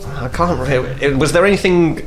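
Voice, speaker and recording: A man's voice: a little high, normal speed, music in the background. A man playing a tabletop game, playfully describing his character's actions. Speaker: player.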